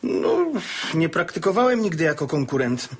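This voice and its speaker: A teasing gentleman bachelor in a period comedy radio play. His voice is slightly husky and moves freely up and down. He is courteous on the surface, with dry, ironic wit. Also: a little high, big pitch swings, moderate pace, heavily acted. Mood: probing, ironic.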